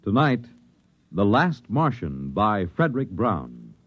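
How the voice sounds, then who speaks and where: A man's voice: lowish voice, huge pitch swings, slow. A man announcing in a dramatic, emphatic 1950s network radio style, with scripted, theatrical delivery. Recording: dull vintage radio recording, music behind. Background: music.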